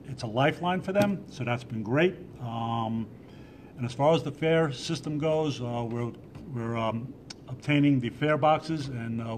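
A man's voice: mid-range voice, darkish tone, slight background noise. A man, a transit company president, answering town hall questions in a conversational way with many fillers.

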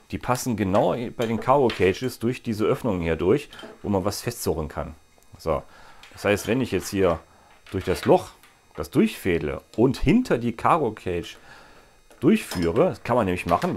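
Deep-voiced man demoing bike gear. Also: a little hiss or hum. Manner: chatty, jokey asides while working. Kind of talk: hands-on product demo. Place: small indoor workshop.

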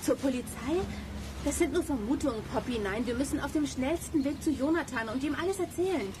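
A woman's voice: sits high, brisk, a little mellow, a little hiss or hum, close to an everyday voice. A woman playing a clever, decisive girl detective in a children's audio drama.

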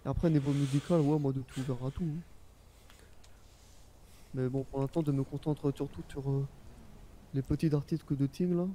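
A man's voice: medium pitch, steady tone, moderate pace, pauses often, dark tone, slight background noise, lightly acted. A man playing a young, easygoing Japanese-born rap label boss in a game, talking in informal, rambling slang. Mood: enthusiastic. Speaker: role-player. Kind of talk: casual in-character game chat.

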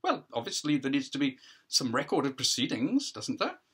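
A man voicing a fussy, patronising judge in a stage play, brisk and condescending with false geniality. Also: medium pitch, huge pitch swings, fairly bright tone, breathy edge, voice pushed up, heavily acted. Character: fussy, patronising male judge at party hearing.

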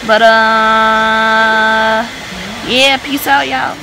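Young woman, casual vlogger, talks into a handheld phone, a bit high voice, busy noisy crowd around. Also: steady tone, very slow. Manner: chatty, upbeat, talks straight to a handheld phone. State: hoarse, losing her voice. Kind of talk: casual vlog chat to camera. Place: hotel rooms, restaurant and mall, indoors. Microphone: phone camera held at arm's length. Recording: phone video with crowd chatter and room noise.

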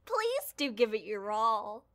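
A woman's voice: a little high, heavily acted. A woman voicing a mock-polite, gleefully sinister living doll in a theatrical game dub.

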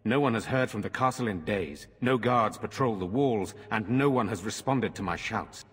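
An actor playing a grave, courteous noble lord in a fantasy game, speaking formally and earnestly in a reverberant stone hall. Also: male voice, on the low side, moderate pace, fairly bright tone, slightly rough. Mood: grave, urgent. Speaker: game character. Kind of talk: dramatic fantasy game acting.

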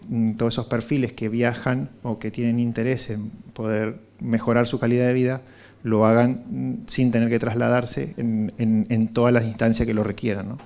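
A middle-aged man with an Argentine accent, pitching a project and answering questions in a halting, searching way into a handheld mic. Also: darkish tone. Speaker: startup founder presenting. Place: indoor conference room with small seated audience. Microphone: handheld microphone.

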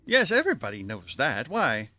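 A man's voice: mid-range voice, wildly swooping pitch, moderate pace, pushed and bright, heavily acted. A man playing an exasperated, tight-fisted college board chairman, impatient and brusque, in old-time radio comedy style.

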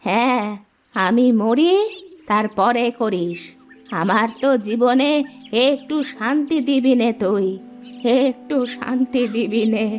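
A woman's voice: fairly high pitch, slow, soft background music, pitched below the performer's own voice. A woman voicing an aging, ailing village mother who keeps pleading with her son to marry. She talks in a nagging, pleading way in a cartoon. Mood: nagging, weary. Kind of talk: cartoon voice acting.

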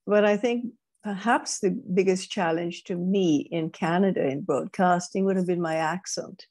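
An older woman with a British-Caribbean accent, her voice low and mellow. She recalls her past in a measured, reflective way, often restarting her sentences, speaking over earbuds on a video call. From Grenada. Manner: measured, thoughtful, with frequent restarts. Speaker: guest speaker. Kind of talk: reflective interview storytelling. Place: home room, indoors. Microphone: wired earbuds on a video call.

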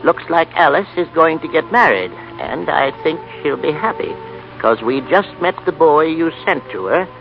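Gravelly, folksy old grandfather. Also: a little high, big pitch swings, normal speed. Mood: humble, content. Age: older. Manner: folksy, plain-spoken. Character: easygoing, plain-spoken, devout old grandfather of an eccentric household. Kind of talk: 1930s radio acting. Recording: muffled 1930s radio broadcast, faint music.

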